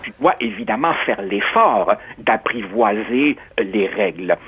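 A man from Uruguay speaking as a radio columnist, measured and expressive with stammering restarts. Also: lowish voice, slight background noise. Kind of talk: opinionated radio debate. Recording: dull lo-fi radio sound.

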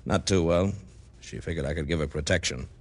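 A deep, gruff American racket boss in a vintage radio detective drama. He talks in a guarded, defensive way, like someone used to giving orders. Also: a little fast, dry recording. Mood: gruff explaining. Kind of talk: old-time American radio detective acting.

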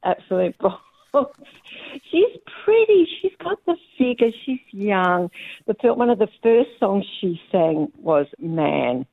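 A middle-aged Australian woman calling in, chatty and blunt, her voice a bit croaky. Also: mid-range voice, sing-song, slow, dry recording. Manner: blunt and chatty, swears casually. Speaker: caller. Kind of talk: casual phone-in chat. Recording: thin phone line.

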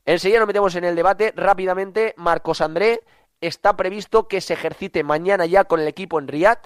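A man's voice: high-pitched, fairly quick, no echo, clean studio sound. A man hosting a sports radio talk show while congested with a cough, chatty and repeating himself for emphasis.

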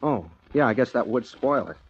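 A man's voice: mid-range voice, animated, normal speed, slightly rough. A man playing an affable, naive, scatterbrained amateur private detective in a 1940s radio comedy drama. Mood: amiable. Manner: mild, polite, scatterbrained, hesitant. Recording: muffled vintage 1940s radio recording, music behind.

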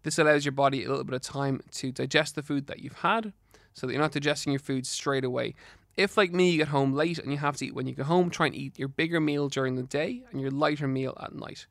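A man's voice: medium pitch, fast talker, gravelly, fairly bright tone, no echo, clean studio sound. An Irish man with a slight lisp hosting a health podcast solo, giving advice in an earnest, encouraging, instructive way. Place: studio.

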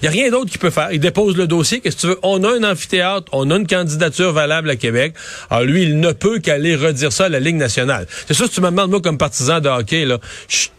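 A man with a Québécois accent giving animated, casual political commentary, often repeating and restarting words. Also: fairly high pitch, fast talker, no room sound. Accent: Québécois French.

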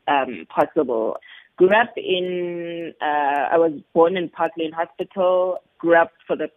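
A woman's voice: low voice, no echo. A woman from Johannesburg, chatting upbeat as a guest on a radio show. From Johannesburg, South Africa. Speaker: guest, business founder. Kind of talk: casual phone interview. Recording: tinny phone line.

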